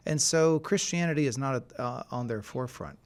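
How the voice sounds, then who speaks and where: A man's voice: mid-range voice, normal speed. Insistent man arguing on a podcast. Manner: emphatic, insistent, argues his point hard. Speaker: podcast co-host. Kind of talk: casual podcast debate.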